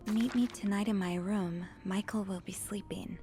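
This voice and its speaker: A woman plays a young maid who snoops around a 1950s hotel in a mystery game. She sounds earnest and polite, with a curious edge. Her voice sits at an average pitch for a woman. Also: fairly even intonation, normal speed, over music, close to an everyday voice. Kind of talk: video game cutscene acting.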